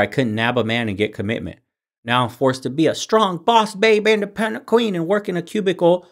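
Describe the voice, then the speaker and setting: Blunt sarcastic male commentator. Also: mid-range voice. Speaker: host reacting to videos. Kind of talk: commentary on clips.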